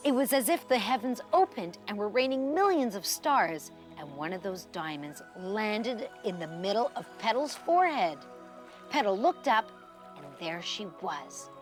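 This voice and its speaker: Very expressive woman reading a children's story. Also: medium pitch.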